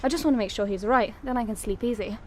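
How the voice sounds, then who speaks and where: Actress for worried, caring, exasperated young woman, radio play, fairly high voice, roomy echo, naturalistic. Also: fast talker, darkish tone, close to an everyday voice. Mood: anxious. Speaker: radio drama actor. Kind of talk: naturalistic radio drama acting. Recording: echoey room with faint noise.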